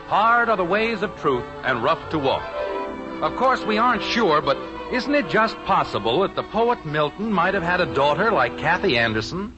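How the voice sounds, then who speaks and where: A man's voice: high-pitched, sing-song, moderate pace, muffled recording. A man giving wry, mock-literary scripted narration for a 1950s radio sitcom. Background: music.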